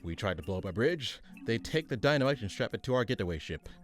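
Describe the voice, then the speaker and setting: A man's voice: on the low side, lively intonation, quick pace, soft background music, close to an everyday voice. A man playing a weary, pompous supervillain mastermind running a meeting in a comedic radio play, businesslike and dryly exasperated. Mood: pompous scheming.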